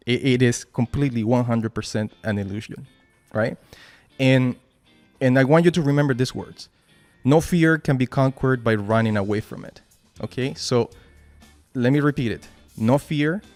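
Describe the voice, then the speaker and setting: A man with a Spanish accent speaks at an ordinary mid pitch, leading a team meeting over a video call. He talks in halting bursts, repeating words and tagging "right?" onto his points. Faint music plays underneath. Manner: halting, many restarts and "right?" tags. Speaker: team lead giving a motivational talk. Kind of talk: informal motivational talk at a work meeting.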